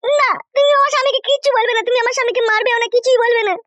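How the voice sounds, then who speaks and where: A woman's voice: extremely high pitch, fairly even intonation, racing, a bit bright, dry recording, dull audio. A woman voicing an indignant, foul-mouthed wife in a comic cartoon. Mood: pleading. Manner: scolding, threatening, heavy rustic swearing.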